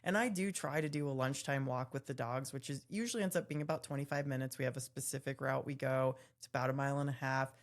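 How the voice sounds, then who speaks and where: A woman in her mid-forties chats as a podcast co-host. Her voice sits notably low for a woman. She keeps the tone upbeat and chatty. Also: fast talker, few pauses. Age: 40s. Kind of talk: casual chat.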